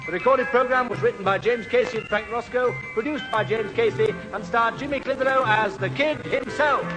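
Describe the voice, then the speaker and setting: A man's voice: very high-pitched, a little fast, low-quality recording. A man announcing the closing credits of a 1970s British radio show in a scripted style. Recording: old radio recording, loud music and applause.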